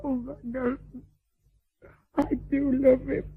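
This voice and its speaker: A woman's voice: high voice, little pitch movement, takes it slowly, husky, heavily acted. An actress playing a middle-aged, vulgar, hard-drinking lady mistress in a radio drama, declamatory, vain and fearful, lurching between coarse bluntness and maudlin grandeur. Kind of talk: theatrical radio drama acting. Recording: thin, phone-like band-limited radio drama audio.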